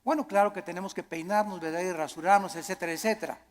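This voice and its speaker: A man's voice: sits high, steady tone, fast. A man with a Mexican accent delivering a sermon as a preacher, emphatic, with repeated phrases and rhetorical questions. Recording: long hall reverb.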